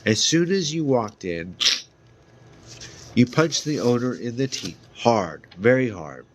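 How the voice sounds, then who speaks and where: A man reading a fantasy novel aloud as an amateur, halting at times, with small stumbles and repeated words. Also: mid-range voice, lively intonation, a little hiss or hum. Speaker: amateur reader.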